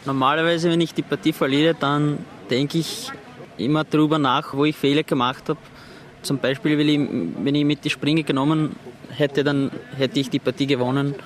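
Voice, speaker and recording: Young Afghan man, fairly high voice. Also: fairly even intonation, normal speed. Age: 20s.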